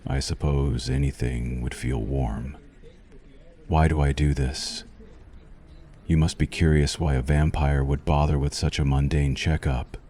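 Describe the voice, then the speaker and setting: Male actor as vampire doctor for ASMR: extremely low, mellow voice, formal, possessive, softly menacing. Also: slight background noise. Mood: soothing, teasing, then sly, menacing. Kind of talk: ASMR roleplay, scripted monologue to the listener.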